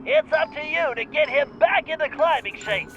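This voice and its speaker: A man's voice: extremely high pitch, lively intonation, moderate pace, background noise. A man voicing a brainy, earnest sidekick giving mission briefings in a cartoon game, in muffled audio. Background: music.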